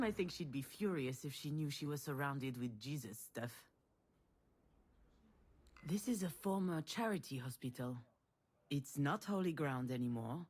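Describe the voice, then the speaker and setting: A woman's voice: sits low, a little mellow, breathy edge, exaggerated voice. A woman playing a protective, reflective older sister in a naturalistic video game drama, earnest and wry. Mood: wry, musing. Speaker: game protagonist. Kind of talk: naturalistic drama voice acting, dialogue and inner monologue.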